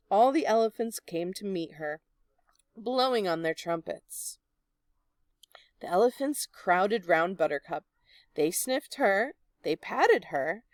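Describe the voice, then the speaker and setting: A woman reading a children's picture book aloud in an expressive, deliberate storytelling manner. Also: medium pitch, no echo. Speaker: storybook reader.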